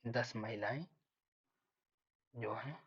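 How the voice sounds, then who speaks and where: A man's voice: mid-range voice, drawn-out words, breathy edge. A man teaching maths step by step for exam preparation, thinking aloud and repeating key figures. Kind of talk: step-by-step lesson explanation. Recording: dull lo-fi microphone sound.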